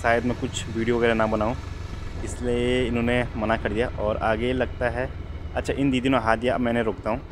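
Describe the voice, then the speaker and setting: A young man talks to his viewers as he rides a motorbike through mountain roads. His voice sits at an ordinary middle pitch. Engine and traffic noise run clearly under it. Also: flat delivery, a little fast. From Uttarakhand, India. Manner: chatty, addresses viewers as guys. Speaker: motovlogger. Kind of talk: casual vlog narration while riding. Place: outdoors on a motorbike on hill roads. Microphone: helmet or body camera mic. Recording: noisy outdoor motorbike recording.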